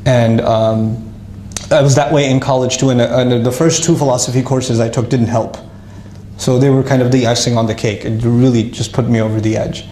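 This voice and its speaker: A man casually telling his life story to an audience, lively and self-mocking, with frequent restarts. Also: mid-range voice, normal speed, echoey room, slight background noise.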